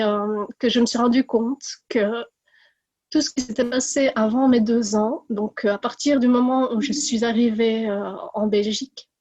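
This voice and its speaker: A woman in her forties from India, telling her own story as a podcast guest, pausing often with fillers and restarts. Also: a little high, warm tone, slightly rough, no echo. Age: 40s. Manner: hesitant, many fillers and restarts. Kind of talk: personal testimony.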